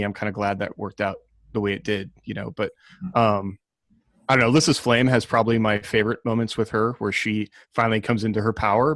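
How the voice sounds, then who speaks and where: A man speaking as an author on a casual discussion panel, reflective and halting as he thinks aloud. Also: on the low side, a bit bright, no room sound.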